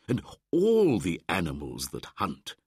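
A man doing a slow old teacher bear. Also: sits low, huge pitch swings, slightly rough, no echo, studio quality, full character voice. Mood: patient. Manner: slow, deliberate, warmly instructive. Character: old brown bear, patient male teacher.

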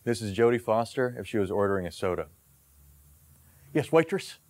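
A man's voice: lowish voice, fast talker, a little mellow, no room sound. A man in his thirties casually introducing bits to camera as a comedian, on a lapel mic. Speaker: comedian presenting a character reel. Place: indoor room with brick wall.

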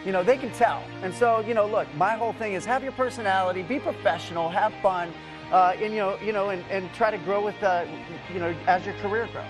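Friendly high-voiced musician from Ohio. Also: male voice, brisk, music in the background, poor audio. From Ohio, US Midwest. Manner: friendly, rambling, self-deprecating. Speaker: guest musician. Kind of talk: talk show interview. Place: TV studio set.

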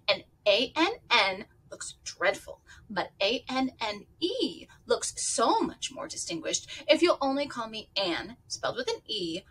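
A dramatic eleven-year-old orphan girl, as a woman reading aloud puts her on, gushing and theatrical in a storybook. Her voice rides high and swoops widely, with a bright, keen edge. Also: recorded from a distance, slight background noise, rough recording.

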